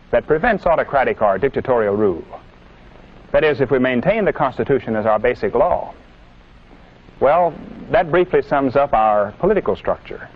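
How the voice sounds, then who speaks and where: An American man delivering an earnest, didactic scripted lecture in the manner of a 1950s propaganda film, with emphatic oratory. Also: medium pitch. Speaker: presenter lecturing on citizenship. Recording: dull lo-fi 1950s film soundtrack.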